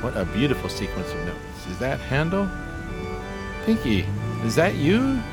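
A man's voice: medium pitch, wildly swooping pitch, a little slow. An actor playing a grandiose, dreamy homeless man with florid, theatrical speech in a radio play. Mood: contented. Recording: music playing behind the voice.

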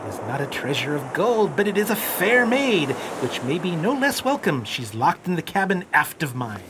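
An actor playing a brave, defiant merchant ship captain with a formal, dignified delivery in an audio drama. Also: male voice, big pitch swings, moderate pace. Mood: grave, earnest. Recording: very noisy audio with heavy rumble.

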